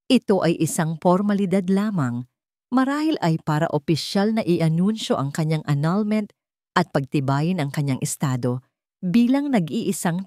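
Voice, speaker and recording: A woman narrating a dramatic story in a steady reading voice. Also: low-pitched, no echo, clean studio sound.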